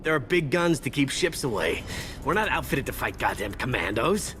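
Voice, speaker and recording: A man performs a scared, injured hired gun in a video game. The delivery swings widely in pitch as the grunt complains bitterly through pain, stammering and defensive. Music is clearly audible behind. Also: sing-song, normal speed, natural voice. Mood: grudging, nervous. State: in pain, bleeding. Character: wounded mercenary grunt, bitter, frightened, grumbling.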